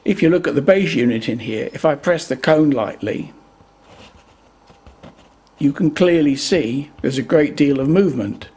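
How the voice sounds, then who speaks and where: A man giving an unscripted, methodical hands-on explanation in a measured way, as the presenter of a repair video. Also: on the high side, animated, darkish tone, slightly rough.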